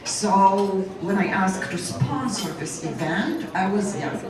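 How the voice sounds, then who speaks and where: A woman's voice: medium pitch, expressive, takes it slowly. An older woman from Poland with a Polish accent gives an informal, self-deprecating, joking speech as a benefit sponsor to an audience. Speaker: event sponsor giving a speech. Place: large event room with guests at tables. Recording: echoey hall, distant mic, loud crowd.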